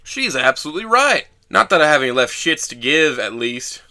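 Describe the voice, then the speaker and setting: A man's voice: on the high side, very animated, fairly quick, bright, forceful tone, full character voice. A man playing a bitter, self-loathing young man who narrates his own story in a sardonic, brooding way for an audio drama. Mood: pained, cynical.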